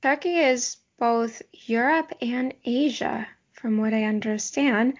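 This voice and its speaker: A woman's voice: fairly high pitch, slow pace, highs cut off. A woman interviewer speaking in a casual, conversational way, asking tentative, considerate questions. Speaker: podcast interviewer.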